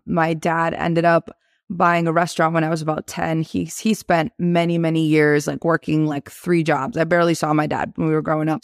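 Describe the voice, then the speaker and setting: A woman's voice: low voice, no echo. Chatty young woman, dry close sound. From Albania. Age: 20s. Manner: energetic, chatty, quick to laugh. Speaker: guest. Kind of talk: casual interview talk.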